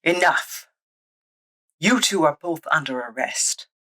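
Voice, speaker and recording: A woman acts out a stern comic-book police officer who likes to give orders. Her voice is low and forceful, the phrases curt and commanding. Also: lively intonation, slow pace, full character voice.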